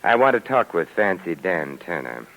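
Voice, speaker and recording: An actor playing an urbane, witty gentleman sleuth with mock-polite delivery in an old-time radio drama. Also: deep voice, sing-song, normal speed, heavily acted. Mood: cool, teasing. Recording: noisy vintage radio recording.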